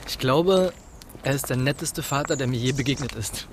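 A man's voice: medium pitch, moderate pace, slight background noise, close to an everyday voice. A man acting in a radio drama as a courteous, reserved young writer who speaks a little hesitantly. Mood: mild, curious. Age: young adult. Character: polite, reserved young English writer, slightly embarrassed.

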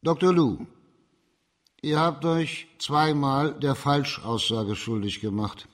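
Judge-investigator, male actor, radio crime drama. Middle-pitched, stern, measured, with formal authority. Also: fairly even intonation, natural voice.

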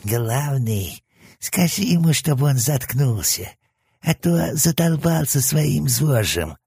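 A man's voice: medium pitch, big pitch swings, slow, vocal fry, a little mellow, breathy edge, no echo, studio quality, voice pushed up, heavily acted. A man voicing the cheeky, crude left head of a three-headed hellhound, with a nasal, sleazy junkie's whine. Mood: sneering. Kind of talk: audiobook character voice.

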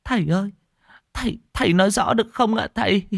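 A man's voice: a little high, lively intonation, moderate pace, slightly rough, no room sound, studio quality, pitched above the performer's own voice. A man doing a stammering, frightened old grandmother. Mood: terrified. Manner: stammering, repeating words.

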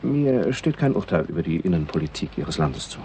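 An actor in a 1960s German radio play, playing an irritable, dry, blunt foreign visitor in middle age. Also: male voice, sits low, very animated, fairly quick, dark tone. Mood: dry, guarded. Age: middle-aged. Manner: blunt, impatient, sardonic. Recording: 1960s radio recording with background hiss.

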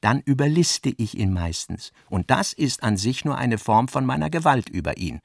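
Guy reading as earnest middle-aged businessman dad, gravelly, pushy arguing, very dry close recording, radio play. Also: mid-range voice, very animated, moderate pace, dry recording, pitched above the performer's own voice. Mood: persuasive. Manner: insistent, rhetorical, argumentative. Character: earnest middle-aged businessman father, persistent, reasoning with daughter. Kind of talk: literary audiobook-style radio drama.

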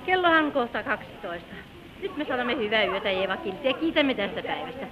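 An actress playing a courteous party guest in an old Finnish radio drama. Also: female voice, on the high side, lively intonation, lightly acted. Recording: dull vintage radio recording, crowd behind.

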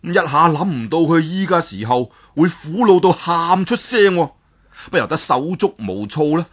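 Husky expressive male storyteller. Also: moderate pace. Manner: expressive, swinging pitch for drama. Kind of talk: traditional Cantonese storytelling.

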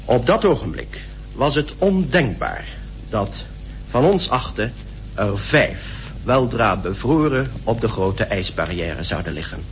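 A polar expedition man in a radio play, weary and reflective. He tells his journal in a measured, mid-range male voice. A faint hum sits under the old recording. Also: mid-range voice, big pitch swings. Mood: somber. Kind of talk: radio drama diary monologue.

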